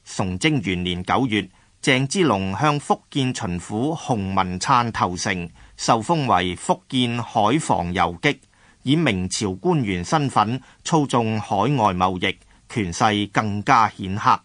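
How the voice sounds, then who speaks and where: Low-voiced Hong Kong Cantonese male narrator. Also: animated, takes it slowly, fairly bright tone, no room sound. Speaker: narrator of a radio drama. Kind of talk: scripted history narration.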